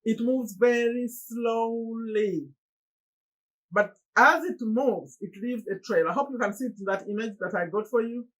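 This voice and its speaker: A middle-aged Ugandan woman speaks in a slightly husky, breathy voice that sits a touch high. She talks straight to her viewers in an earnest, pleading way. Now and then her voice jumps up in animated exclamations. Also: a little slow, low-quality recording. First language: Luganda. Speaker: motivational YouTuber. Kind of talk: motivational monologue to camera.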